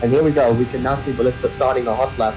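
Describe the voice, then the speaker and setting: A man co-commentating an online sim race with brisk, to-the-point remarks. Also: mid-range voice, fairly even intonation, music in the background, poor audio.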